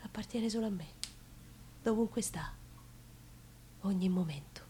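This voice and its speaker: A woman's voice: mid-range voice, husky. An actress playing a passionate, plain-spoken working-class woman with a Roman accent, recalling her love in an intimate theatrical monologue. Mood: hushed grief. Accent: Roman Italian. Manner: intimate, emotional storytelling with expressive pauses. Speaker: stage actress.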